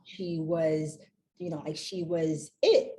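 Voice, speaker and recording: A woman's voice: low voice, fairly even intonation, takes it slowly, mellow. A young woman from Haiti, a company founder, chatting as a podcast guest and rambling with many fillers and restarts. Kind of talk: casual interview chat.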